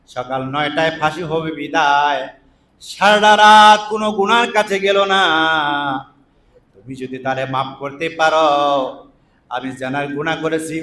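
High-pitched, impassioned preacher man, echoing. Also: pushed and bright. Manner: dramatic, drawn-out chanting calls that drop into low, pleading phrases. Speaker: Islamic preacher. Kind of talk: impassioned storytelling sermon. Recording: echoing PA-style sound.

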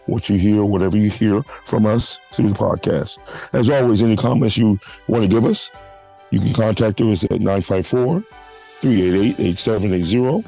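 A man hosting a church podcast, hoarse from a flu, making announcements and leading a prayer with halting restarts. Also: fairly low pitch, warm tone, low-quality recording. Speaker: podcast host. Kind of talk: casual church podcast chat.

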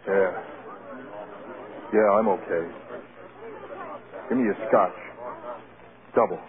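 An actor playing a beaten, drunken former movie star, terse and subdued, in 1930s radio drama. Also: male voice, low voice, slow. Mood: flat, dejected. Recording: tinny 1930s radio broadcast, loud background crowd.

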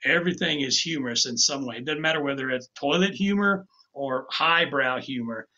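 Bright-voiced man on a video call. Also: mid-range voice, fairly quick. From Tennessee. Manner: easygoing, repeats words as he goes. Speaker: woodcarving instructor. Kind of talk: casual demonstration talk. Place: home office with shelves. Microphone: webcam on a video call. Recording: dull lo-fi video call audio.

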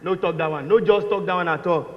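A wary, dismissive Nigerian cleaner acted by a man in a stage comedy speaks in a high-pitched voice. The sound is reverberant and slightly dull. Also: quick pace, close to an everyday voice. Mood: protesting. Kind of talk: comic stage acting in Pidgin. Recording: echoey stage hall, muffled.

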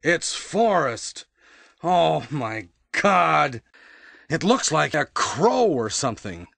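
A man's voice: a little high, very animated, slow pace, vocal fry, fairly bright tone, exaggerated voice. A man playing an earnest, protective policeman in a video game parody, with stilted, overdramatic delivery. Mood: dramatic alarm. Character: earnest gruff male action-game policeman, melodramatic protective partner. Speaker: amateur voice parody performer. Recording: long hall-like reverb.

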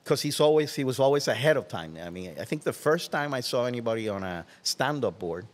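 An older man from Puerto Rico, speaking English with a Puerto Rican Spanish accent, tells stories as an interview guest in a relaxed way, often trailing off into soft asides. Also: medium pitch, fairly quick, long reverb tail. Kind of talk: casual interview. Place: large watersports shop, indoors. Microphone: headset.

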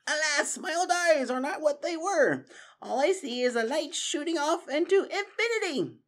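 An elderly male mouse from a storybook, reedy and quavering. The voice is pitched far up into a thin, high register. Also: animated, moderate pace, a bit bright. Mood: awed. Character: old male mouse, frail-eyed sage.

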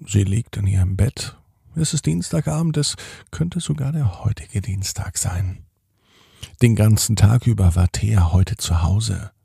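A man hosting a children's podcast, narrating a bedtime story in a deliberate way and speaking directly to young listeners. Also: fairly low pitch, vocal fry, dark tone, breathy edge, no room sound, studio quality. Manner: addresses children directly, slow deliberate phrasing with repetitions.

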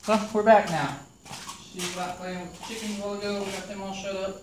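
A man's voice: high-pitched, fast talker. Middle-aged guy explaining his build, kinda far from the camera mic, faint shop noise behind. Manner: relaxed, explanatory, frequent ums. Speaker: DIY vlogger. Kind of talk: casual build narration. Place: garage workshop. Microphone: camera mic from a distance. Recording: echoey garage, off-mic.

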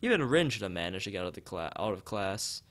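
Low-voiced man reading a game aloud. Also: sing-song, fast talker, a bit bright. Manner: stumbles over read lines and repeats phrases. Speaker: streamer playing a visual novel. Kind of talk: reading game text aloud with casual asides. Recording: music behind the voice. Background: background music.

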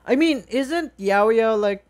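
A woman's voice: a little high, lively intonation, takes it slowly, a little mellow. A woman hosting a reaction video, gushing informally about a game trailer with the odd mild swear. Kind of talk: excited casual reaction commentary to a game trailer.

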